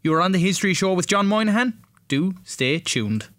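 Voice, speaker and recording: A man's voice: high voice, very animated, brisk. An Irish man presenting a radio programme in a scripted, conversational host style. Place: radio studio.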